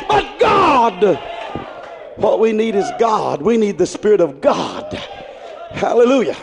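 A man preaching a fiery sermon, stretching out his words, calling hallelujah and swinging from shouts to low murmurs. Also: noisy background. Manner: dramatic, drawn-out exclamations, calls of hallelujah. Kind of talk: Pentecostal-style sermon.

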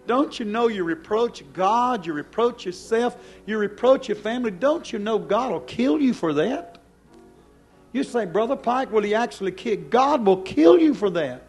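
A male preacher delivers a hellfire sermon in a notably high-pitched voice. He is stern and accusing, hammering his congregation with pointed questions and shifting between hushed and loud phrases. Faint music sits behind his voice. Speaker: preacher, church pastor. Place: church service before a congregation.

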